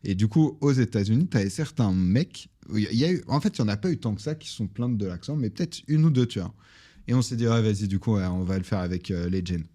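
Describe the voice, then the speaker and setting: A young French man, a guest on a podcast, telling business stories in a casual, animated way with lots of fillers. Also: on the low side, fast talker. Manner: animated storytelling with many fillers. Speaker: online business founder, guest.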